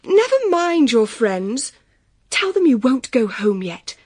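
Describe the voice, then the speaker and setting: Spoiled young English society belle, period audio drama: high voice, teasing, imperious, affected, dry sound. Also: female voice, very animated, slow pace, breathy edge, no echo, exaggerated voice. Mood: coaxing, vain. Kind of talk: period radio drama acting.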